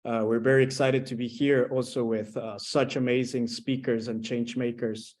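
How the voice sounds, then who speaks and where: A man's voice: medium pitch, level tone, normal speed, darkish tone. A man from Costa Rica, explaining an initiative as a guest panelist. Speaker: guest panelist, co-founder of a climate platform. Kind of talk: explanatory webinar presentation.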